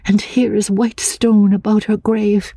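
A woman voicing a fragile, anxious, childlike young woman in a dramatic audiobook, soft and husky, hesitant and pleading. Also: mid-range voice, flat delivery, measured pace, no room sound, voice pushed up. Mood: tender. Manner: pleading, hesitant, naive, with repeated words.

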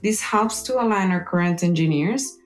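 Lower-pitched woman, scripted narration over music. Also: moderate pace. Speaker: engineering manager. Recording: music behind the voice.

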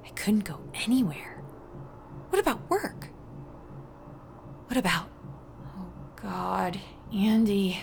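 An actress playing a frightened, bewildered ordinary woman, telling her own thriller story in an urgent, breathy way. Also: medium pitch, sing-song, slow, pauses often, heavily acted. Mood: anxious, panicked. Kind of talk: first-person dramatic audio fiction narration. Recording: noisy sound-effect ambience behind voice.

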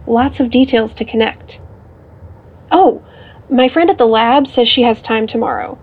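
Older sister, wry and supportive, higher voice, thin phone-call sound, natural audio drama delivery. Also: female voice, a little slow, slightly rough. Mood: wry, practical. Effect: telephone filter. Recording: thin, band-limited phone line.

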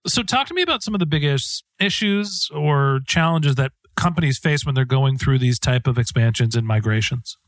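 A man hosting an interview, putting questions in a measured, conversational way. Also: medium pitch, expressive, a bit bright, dry recording, low-quality recording. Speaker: podcast interviewer.

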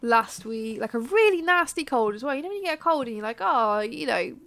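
A woman co-hosting a podcast in casual chat, a bit bunged up from a cold, chatty and quick to laugh. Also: fairly high pitch, expressive, fairly bright tone, no room sound. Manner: chatty, laughs often, drawn-out fillers. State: congested from a cold. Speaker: podcast co-host.

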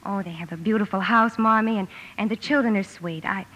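An actress playing a proper, earnest and excitable young eldest sister in a radio drama. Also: female voice, medium pitch, a little fast, close to an everyday voice, low-quality recording. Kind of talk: 1950s radio drama acting and narration. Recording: old 1950s radio broadcast recording with music.

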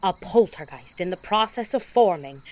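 A woman's voice: fairly low pitch, animated, moderate pace, darkish tone, a little hiss or hum, close to an everyday voice. A woman playing an earnest, fascinated paranormal investigator in an audio drama, explaining things like a documentary narrator. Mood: hushed wonder.

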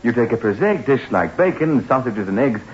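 An actor playing a confident, teasing husband and sceptic, with wordy theatrical delivery in a 1960s radio drama. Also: male voice, mid-range voice, lively intonation, brisk, close to an everyday voice. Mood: playful, assured. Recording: hissy, muffled 1960s radio recording.

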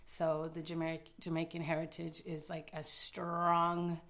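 Soft, lower-voiced woman from Canada. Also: monotone, unhurried. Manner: giggly, nervous, chatty. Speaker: guest choreographer. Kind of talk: casual interview storytelling.

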